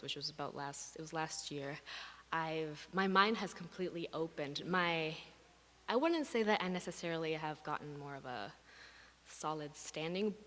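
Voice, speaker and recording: A woman speaking as a graduate student panelist, reflecting to an audience with searching fillers and restarts. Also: deep voice. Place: museum event hall. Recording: long reverb of a hall.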